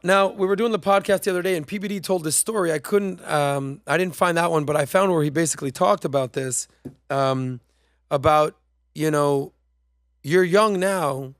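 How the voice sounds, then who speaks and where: A man's voice: a little high, no echo, clean studio sound. A man hosting a podcast, talking in a casual, emphatic and slangy way while commenting on played clips. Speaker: podcast host.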